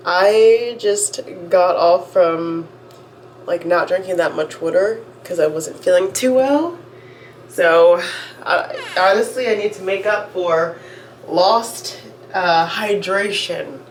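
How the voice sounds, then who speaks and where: A woman's voice: on the low side, expressive, takes it slowly, slight background noise. A young woman vlogging at home, chatting casually while getting ready, a little congested as she gets over a cold. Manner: chatty, rambling, self-interrupting. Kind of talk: casual get-ready-with-me chat. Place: at home, indoors.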